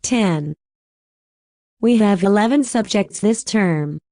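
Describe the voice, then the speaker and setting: A woman reading numbered English textbook sentences in a slow, deliberate way. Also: medium pitch, animated, a little mellow, dry recording, clean studio sound. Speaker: audio narrator for an English textbook. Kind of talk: scripted textbook listening narration.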